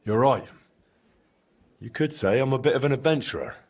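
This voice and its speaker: Lively young blacksmith adventurer played by a man. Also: mid-range voice, moderate pace, no room sound, natural voice. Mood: easygoing. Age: young adult. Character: easygoing young male blacksmith adventurer, modest and curious. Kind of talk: video game character dialogue.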